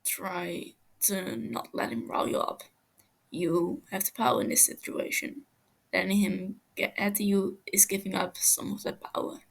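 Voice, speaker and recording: A woman voicing a calm, menacing, wry male torturer with a measured, growling delivery in a story reading. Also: mid-range voice, creaky, husky. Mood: stern.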